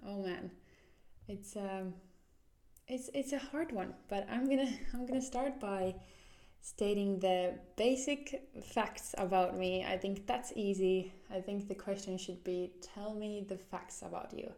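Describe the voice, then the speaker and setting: A woman's voice: medium pitch, a little slow, warm tone. A woman in her twenties from Finland with a Finnish accent, hosting a solo podcast in an earnest way, often restarting as she searches for words. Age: 20s. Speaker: podcast host.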